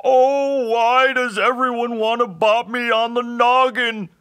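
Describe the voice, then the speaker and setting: Storybook hobgoblin, very high voice, slobbery wail, pleading, indignant, bright. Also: male voice, level tone, slow, smooth, heavily acted. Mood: tearful. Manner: sobbing, wailing, slobbery howl. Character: tearful, pleading, indignant male bog hobgoblin.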